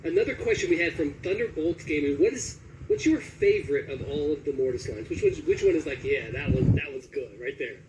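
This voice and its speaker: A man's voice: on the high side, expressive, brisk, hardly any pauses, a little mellow, rough recording. A man casually putting questions to a guest as an interviewer. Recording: faint background noise.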